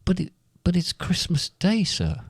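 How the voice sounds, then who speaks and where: A meek, put-upon clerk, played by a man, his voice often lifted higher as he pleads. He stammers deferentially in improvised comic panto style, recorded close and dry. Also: huge pitch swings, a little slow, no echo, full character voice. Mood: pleading. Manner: hesitant, deferential, stammering pleas. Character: meek, pleading, overworked male clerk to a miser.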